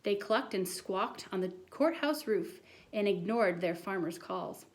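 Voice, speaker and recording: Woman acting out an excitable storybook schoolboy, earnest, insistent, dramatic tall-tale telling. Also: mid-range voice, measured pace, close to an everyday voice. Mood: excited storytelling.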